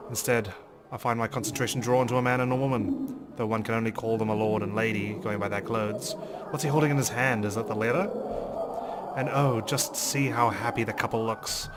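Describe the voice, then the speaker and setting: A man hosting a let's play, reading a visual novel aloud in a measured, theatrical way. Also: medium pitch, steady tone, heavy background noise. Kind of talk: dramatic read-aloud narration of a visual novel with commentary.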